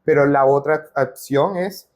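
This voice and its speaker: Higher-voiced male podcast host. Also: unhurried, darkish tone. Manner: informal, mixes in English business terms. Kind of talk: casual interview chat.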